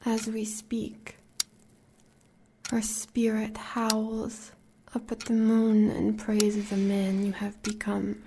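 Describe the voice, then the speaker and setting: With clear noise behind her, a woman acts out a devoted, fierce American huntress in an audio roleplay. Her voice is breathy and creaky, edging toward a whisper. She consoles her lover in slow, solemn, poetic phrases. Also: mid-range voice. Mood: tender, firm. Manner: solemn, measured, poetic delivery.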